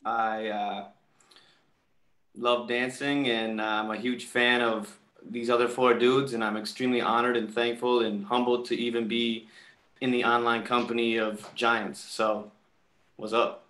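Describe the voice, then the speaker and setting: A man's voice: mid-range voice, flat delivery, unhurried, bright, forceful tone, echoey room. A man from Minnesota introducing himself on a video call in a humble, plain-spoken way. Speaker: guest dancer. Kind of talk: casual chat.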